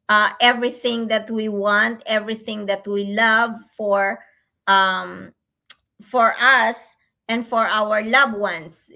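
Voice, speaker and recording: Slow, bright-voiced woman, non-native English. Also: mid-range voice. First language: not English. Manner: slow, halting, many fillers. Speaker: co-host. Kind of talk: casual livestream chat.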